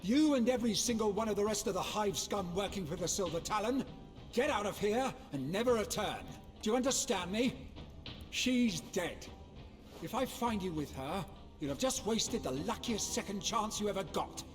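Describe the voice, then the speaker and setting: A menacing male bounty hunter from a video game, curt and commanding. His fairly high voice swings widely in pitch, ringing in a reverberant hall with music behind. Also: animated. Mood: threatening. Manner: curt, threatening, deliberate. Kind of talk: video game cutscene acting.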